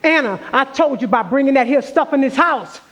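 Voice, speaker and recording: A man's voice: extremely high pitch, lively intonation, fairly quick, fairly bright tone. A man playing a protective, plain-spoken grandmother in a stage play, folksy and weary. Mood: stern, scolding.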